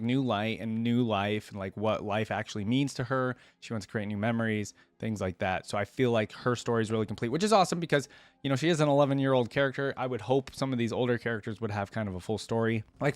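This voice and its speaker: A man's voice: mid-range voice, fast talker, no room sound. A man giving casual, unscripted commentary as a reaction channel host, rambling and thinking aloud as he goes.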